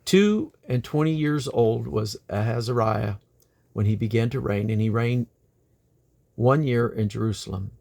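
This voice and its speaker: A man reading the Bible aloud in a creaky voice, delivered deliberately, phrase by phrase, with pauses. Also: mid-range voice, dark tone. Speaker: Bible reader. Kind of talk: scripture reading aloud from the King James Bible.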